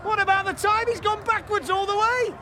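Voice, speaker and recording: A man's voice: extremely high pitch, over a noisy crowd, heavy background noise. A man commentating live on a race event, exclaiming at each run as it unfolds. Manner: excitable, quick to exclaim at runs.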